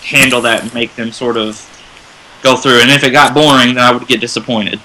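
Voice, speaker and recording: A man's voice: medium pitch, fairly even intonation, a little fast, pushed and bright, low-quality recording. A man chatting casually as a panelist on a fan radio show.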